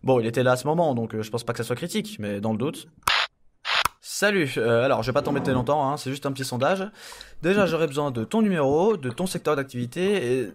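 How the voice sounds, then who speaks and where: A man's voice: medium pitch, lively intonation, fast, close to an everyday voice. A man playing a baffled henchman inspector in a comedy audio serial, casual, hesitant and easily irritated.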